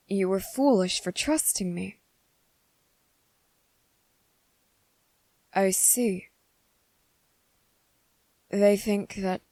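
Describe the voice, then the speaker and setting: A woman voicing a possessive, lovesick male vampire in an intimate ASMR roleplay, speaking tenderly and haltingly, with coaxing deliberation. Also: medium pitch, warm tone, dry recording. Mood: concerned, tender. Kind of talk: ASMR character roleplay, intimate scripted acting.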